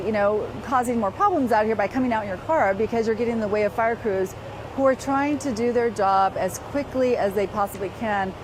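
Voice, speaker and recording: Woman reporting live over clear street noise. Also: mid-range voice. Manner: conversational, frequent "you know". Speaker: field reporter. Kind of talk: unscripted live breaking-news report. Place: outdoors on a residential street at an emergency scene.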